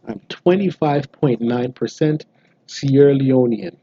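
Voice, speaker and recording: Canadian guy in his 30s vlogging at home, super mellow dark voice, kinda husky, dry slightly dull sound. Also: mid-range voice, slow, no echo. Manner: relaxed, explanatory, frequent um and restarts. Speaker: genealogy vlogger. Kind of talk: casual direct-to-camera vlog. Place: small bright room indoors, seated at home.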